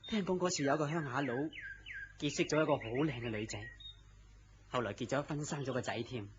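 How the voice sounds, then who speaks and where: An actor playing a gentle, melancholy man in love, speaking earnestly and theatrically in Cantonese radio drama style. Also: mid-range voice, brisk, close to an everyday voice. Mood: storytelling. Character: lovestruck, gentle, self-deprecating melancholy man. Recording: dull lo-fi audio with noise.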